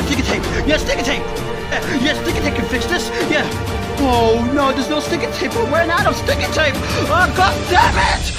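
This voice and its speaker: A performer voicing a panicky, whiny servant who wails frantically and repeats himself in an amateur comedy skit. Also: high voice. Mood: frantic. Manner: frantic, repetitive wailing. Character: panicky, whiny servant slave terrified of his king.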